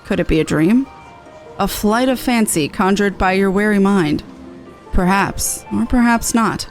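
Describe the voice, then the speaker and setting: A woman's voice: mid-range voice, measured pace, pitched below the performer's own voice, close to an everyday voice. A woman voicing a solemn, mysterious man from a fantasy game in formal speech. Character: solemn, mysterious man addressing an adventurer in a dream. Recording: music in the background.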